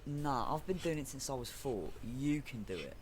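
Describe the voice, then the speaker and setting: Roguish British male mechanic acted by a man, middle pitch, breezy, wry, matey, audio comedy, slight vehicle noise. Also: very animated, moderate pace, lightly acted. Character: roguish island mechanic, breezy thieving sidekick. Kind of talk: comic audio drama double act.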